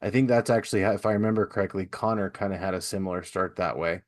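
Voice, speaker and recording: Male podcast host, middle-aged, low-pitched voice, loose conversational delivery, slangy and self-deprecating. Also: fairly even intonation, quick pace. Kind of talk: casual chat.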